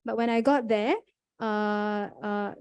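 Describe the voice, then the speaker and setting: Young Singaporean woman, relaxed mellow tone, panel speaker, halting and sincere. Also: mid-range voice, clear, resonant tone. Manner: earnest, many fillers and restarts. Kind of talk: conference panel talk.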